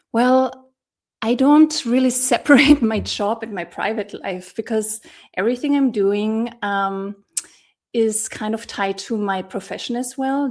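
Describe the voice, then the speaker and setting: A woman from Austria working as a therapeutic coach, speaking over a video call in a thoughtful, hesitant way with many pauses and fillers. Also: mid-range voice. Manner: thoughtful, hesitant, many ums, laughs often. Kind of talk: casual interview talk.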